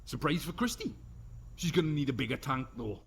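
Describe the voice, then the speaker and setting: A man's voice: medium pitch, big pitch swings, fairly quick, gravelly. A British man playing a dim, cheerful cyborg research officer who rambles earnestly and gets confused in a sci-fi comedy audio drama. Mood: cheerfully clueless. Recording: faint background noise.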